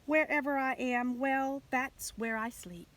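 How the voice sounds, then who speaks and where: A free-spirited male butterfly from a children's storybook, acted out by a woman, talks in a fairly high, airy voice. He sounds independent and self-assured, deliberate in his words, with faint noise behind. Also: steady tone, a bit bright. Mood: carefree.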